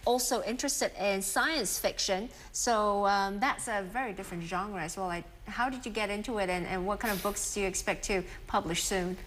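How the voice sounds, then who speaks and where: A woman hosting a morning TV show in the studio, asking brisk questions. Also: medium pitch, fairly bright tone, a little hiss or hum. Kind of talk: TV interview hosting.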